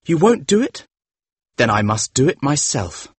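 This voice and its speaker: A man voicing a vain young gentleman in an audiobook story, speaking in a hushed, cold and bitter manner. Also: mid-range voice, big pitch swings, a little slow, husky, no room sound, pitched above the performer's own voice. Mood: cold, taunting. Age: young adult. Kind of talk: dramatised audiobook character voice.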